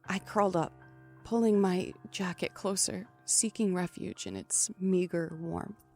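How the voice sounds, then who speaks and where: A woman's voice: lowish voice, a little mellow, dry recording. An actress playing a frightened but defiant trapped woman in a first-person thriller audio drama, with dramatic, suspenseful delivery. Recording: music under the voice.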